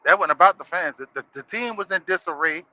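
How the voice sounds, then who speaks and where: A man's voice: sits high, quick pace, pushed and bright, lo-fi sound. A man on a sports podcast panel, debating casually and repeating his points as he cuts in. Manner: repeats points while cutting in.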